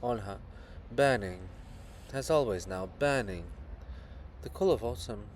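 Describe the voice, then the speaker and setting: A man giving a dramatic reading of a play in a measured, theatrical manner. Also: fairly low pitch, a little hiss or hum. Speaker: reader of a play.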